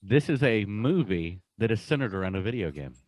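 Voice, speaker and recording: A young man co-hosts a casual podcast with a fairly low voice. He rambles, restarts and stammers on repeated words. The sound is dry and close to the mic. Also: expressive, a little fast, a little mellow, dry recording. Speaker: podcast co-host. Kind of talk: casual chat.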